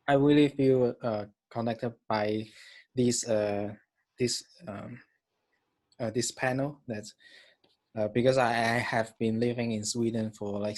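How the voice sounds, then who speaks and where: A man's voice: medium pitch, a little mellow, low-quality recording. A man from Hong Kong commenting to an artist, hesitant, with many ums and uhs. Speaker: audience member. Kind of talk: casual comment.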